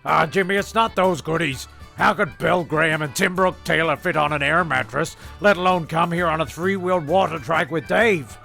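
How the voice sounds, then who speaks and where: Gravelly, exasperated man narrating. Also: on the high side, normal speed, pushed and bright, soft background music. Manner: exasperated, matter-of-fact narration. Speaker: narrator and comic performer. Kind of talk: comic audio sketch.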